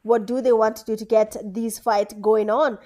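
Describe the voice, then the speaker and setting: Young Kenyan woman, high-pitched and chatty, streaming her own esports commentary. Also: flat delivery, moderate pace, mellow. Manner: chatty, laughs often, mixes in Swahili. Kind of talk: live game commentary.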